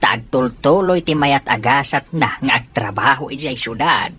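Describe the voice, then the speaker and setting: A woman playing a kindly older male boss in an audio drama, with a measured, sympathetic manner. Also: very deep voice, fairly bright tone, slightly rough, full character voice. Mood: kindly, apologetic.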